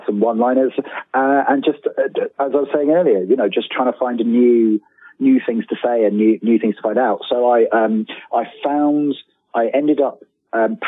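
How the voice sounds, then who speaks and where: A man's voice: mid-range voice, breathy edge, dry recording. Man on a tinny phone-like line. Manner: hesitant, self-deprecating, wry. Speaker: guest, writer of books and audio dramas. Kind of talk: casual interview chat.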